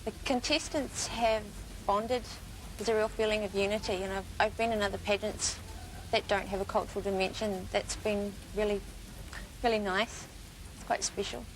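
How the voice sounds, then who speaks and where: A young woman, speaking sincerely and a little haltingly. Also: medium pitch, fairly even intonation, a little hiss or hum, low-quality recording. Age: 20s. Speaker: pageant contestant. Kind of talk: interview soundbite.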